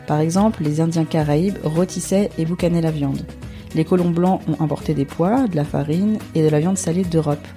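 A woman from France hosting a podcast, reading her scripted introduction in a measured way. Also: low voice, dark tone. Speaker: podcast host.

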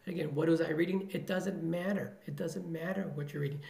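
Middle-aged male ER doctor from the Chicago area, earnest and motivational, heavy vocal fry, echoey room. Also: a little high, fairly even intonation, brisk, a little mellow. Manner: earnest, motivational, repeats phrases for emphasis. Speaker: emergency physician guest. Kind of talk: podcast interview answers.

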